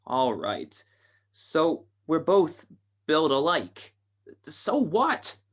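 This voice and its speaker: A man acting a nervous, slow-witted gangster's henchman in a radio play, hesitant and stammering, with slangy speech. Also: on the high side, sing-song, a bit bright, exaggerated voice. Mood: doubtful, uneasy.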